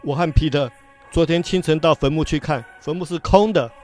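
An actor playing a cautious, worried disciple who is easily exasperated, in a radio Bible drama. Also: male voice, fairly high pitch, brisk, darkish tone, faint music behind, lightly acted.

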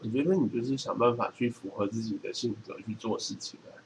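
A man's voice: medium pitch, fast talker. A middle-aged man with a Taiwanese Mandarin accent chatting casually as a podcast co-host, explaining things in a matter-of-fact way. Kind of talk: casual chat. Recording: clearly noisy background.

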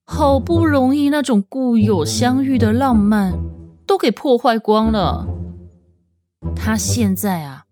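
A woman narrates a story expressively in a fairly high voice. Her delivery is lively and measured, with music playing clearly underneath. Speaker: audiobook narrator.

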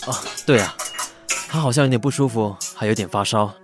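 A man's voice: fairly low pitch, brisk, faint music behind, lightly acted. An actor playing an easygoing, affectionate young male pastry assistant in an audio drama, speaking with a Taiwanese accent. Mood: sincere, fond. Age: young adult. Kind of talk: audio drama acting with narration.

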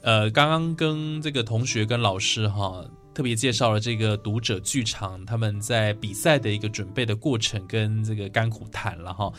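A man's voice: lowish voice, moderate pace, few pauses, a bit bright, faint music behind. A man with a Taiwanese Mandarin accent hosting a radio interview in a conversational, affirming manner. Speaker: radio host.

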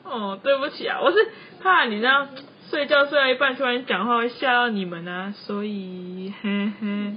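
A young woman plays a sensible, easygoing female student with a fairly high voice and a Taiwanese Mandarin accent. It is amateur campus radio acting, slightly dull in sound with faint noise behind. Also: moderate pace, few pauses, natural voice. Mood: sheepish. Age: young adult. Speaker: student radio drama actor.

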